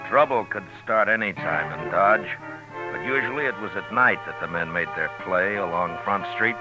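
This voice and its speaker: An American actor playing a world-weary, steady frontier marshal in a 1950s radio western, speaking in a grave, laconic way. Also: male voice, low-pitched, moderate pace, lightly acted, rough recording. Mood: matter-of-fact. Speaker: lead actor and narrator. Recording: vintage radio recording with music.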